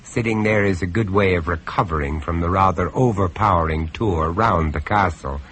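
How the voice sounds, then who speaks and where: A man's voice: low voice, normal speed, fairly bright tone. An actor playing an urbane, sardonic horror star narrating his tale in a radio play. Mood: storytelling. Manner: sardonic, droll, polished. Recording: noisy radio drama recording.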